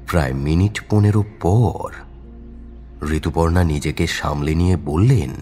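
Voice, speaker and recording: Deep, mellow male story narrator. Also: unhurried, faint music behind. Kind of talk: dramatic scripted story narration.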